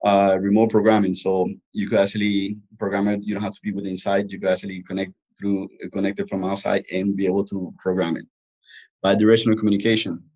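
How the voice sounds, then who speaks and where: A man presenting an informal technical webinar as a product trainer, explaining haltingly with frequent restarts and repetitions. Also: low voice, darkish tone, poor audio.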